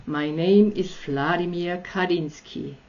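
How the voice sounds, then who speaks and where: A woman's voice: lowish voice, takes it slowly, a little mellow. A woman with an Austrian accent voicing a polite, hungry male vampire in his prime, in a courteous, formal manner. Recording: slightly dull lo-fi audio.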